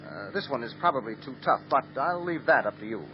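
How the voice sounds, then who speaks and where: An actor playing an authoritative defense lawyer in a 1940s radio drama, brisk and calm. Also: male voice, mid-range voice, background noise, natural voice. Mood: cautious. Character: confident male defense lawyer, calm planner. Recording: dull old radio recording.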